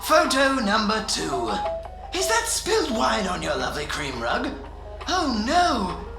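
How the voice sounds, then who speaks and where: Sadistic clown villain, wildly swooping pitch, slow showman delivery, mocking, creaky, radio drama, loud music behind. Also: wildly swooping pitch, exaggerated voice. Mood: gleeful cruelty.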